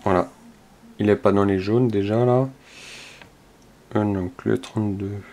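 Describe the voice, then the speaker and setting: A man hosts a scratch-card video, calmly checking numbers one by one and muttering softly as he scratches. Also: fairly low pitch, measured pace. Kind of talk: casual play-by-play while scratching tickets.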